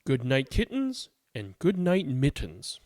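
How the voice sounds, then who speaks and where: A man with a gravelly voice, hosting and reading bedtime picture books aloud for young children. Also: mid-range voice, huge pitch swings, takes it slowly, darkish tone, no room sound, studio quality. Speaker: host of a children's read-aloud show. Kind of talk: casual chat and bedtime picture book read-aloud.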